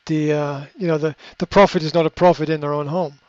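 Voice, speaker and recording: A man speaking in a fairly high voice, coaching in an unscripted, reflective way. He pauses with fillers and restarts sentences as he thinks. The recording is dry and a little muffled. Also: fairly even intonation, dry recording. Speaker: coach answering a question. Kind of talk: unscripted advice talk.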